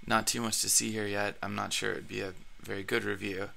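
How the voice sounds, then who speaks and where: A man hosting a screen-recorded blogging tutorial, his voice raspy from allergies, talking casually with plenty of fillers and restarts. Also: on the low side, flat delivery, a little fast, sharp tone.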